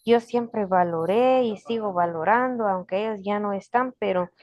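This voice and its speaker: An older woman, unhurried and full of restarts, telling family stories as a guest on a video call. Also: mid-range voice, no room sound, studio quality. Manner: warm, unhurried storytelling with frequent restarts. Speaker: guest speaker, forest guardian. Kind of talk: casual storytelling interview. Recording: dull lo-fi video call audio.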